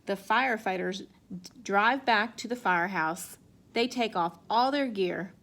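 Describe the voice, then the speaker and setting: A woman reading a children's picture book aloud in an expressive way. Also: medium pitch, measured pace. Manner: expressive, acts out sound effects and exclamations. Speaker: storybook reader.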